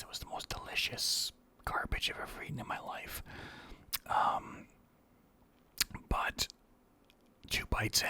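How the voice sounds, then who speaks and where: A man's voice: fairly low pitch, bright, forceful tone. A middle-aged man whispering casual, rambling stories about his past, swearing now and then. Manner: whispering throughout. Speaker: storyteller.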